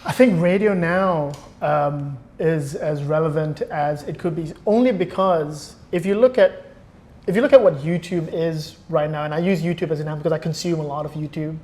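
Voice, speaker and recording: A man's voice: on the high side, darkish tone. A man speaking as a podcast guest, explaining his opinions in a thoughtful, conversational way and often restarting phrases. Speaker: podcast guest, former radio producer. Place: indoor podcast recording.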